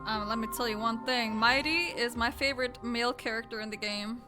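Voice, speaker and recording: A woman's voice: fairly high pitch, fairly even intonation, fairly quick. A woman streamer giving casual, slangy reaction commentary to a game. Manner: informal, slangy. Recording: game music playing behind voice. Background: game cutscene music.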